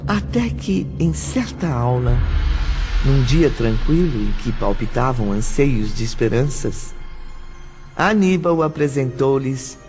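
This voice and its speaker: A man with a Brazilian accent narrating an audiobook from a script in a solemn, literary, measured way. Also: mid-range voice, animated, darkish tone. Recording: dull audio under clear music.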